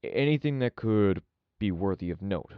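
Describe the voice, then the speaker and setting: A man playing a businesslike police officer questioning someone in an official, brisk way in an audio drama. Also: lowish voice, lively intonation, clean tone, no echo, natural voice. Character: businesslike male missing-persons police officer. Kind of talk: audio drama interrogation dialogue. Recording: dry, dull close recording.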